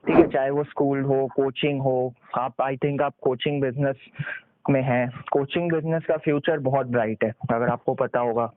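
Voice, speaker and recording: A man giving a persuasive sales pitch over the phone, explaining step by step, mixing in English business terms and checking for agreement. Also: fairly even intonation, moderate pace. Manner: explanatory, often seeks confirmation with tag questions. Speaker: sales consultant on a call. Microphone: recorded phone call. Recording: muffled lo-fi phone call recording.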